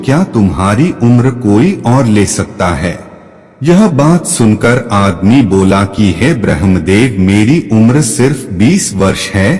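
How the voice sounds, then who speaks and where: A man narrating a devotional fable in a measured, solemn way. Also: fairly low pitch, a little mellow. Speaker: storyteller. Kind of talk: slow devotional story narration. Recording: long hall reverb.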